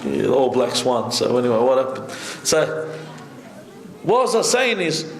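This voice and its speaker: A middle-aged man giving a conversational sermon from a seated position, speaking into a stand microphone with lively gestures and asides. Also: medium pitch, moderate pace. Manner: animated, conversational preaching with many asides, gesturing. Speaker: sheikh giving a majlis lecture. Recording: echoey hall.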